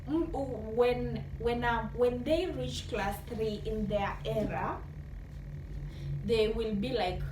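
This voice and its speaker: A woman with a Kenyan accent telling casual personal stories in an animated way, acting out remembered dialogue and switching between two languages. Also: fairly high pitch. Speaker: vlogger. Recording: roomy echo, distant mic, noisy background.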